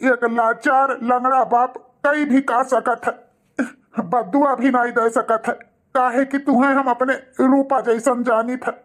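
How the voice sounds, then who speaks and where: A man's voice: extremely high pitch, level tone, a little slow, a bit bright. A man voicing a humble, sentimental older father in a cartoon melodrama, resigned and sorrowful, with an Awadhi accent. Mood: wounded, resigned. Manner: stammering when shaken, sentimental. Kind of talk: cartoon family melodrama acting.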